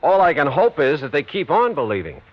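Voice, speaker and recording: An actor playing a cool, dogged investigator in 1950s radio noir, in both dialogue and narration. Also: male voice, on the high side, huge pitch swings, brisk, heavy background noise. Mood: wry, questioning. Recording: dull vintage radio recording.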